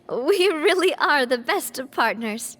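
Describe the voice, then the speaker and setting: A woman voicing a confident, caring young woman who leads a gang, in a warm, lively and decisive way. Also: high voice, slow pace. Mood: determined. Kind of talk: video game voice acting.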